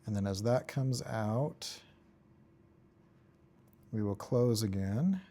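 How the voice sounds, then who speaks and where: Mellow-voiced man giving an informal tutorial. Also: lowish voice, slow pace. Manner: offhand, talks in short fragments while working. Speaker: tutorial instructor. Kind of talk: informal screen-recorded software tutorial, thinking aloud while working.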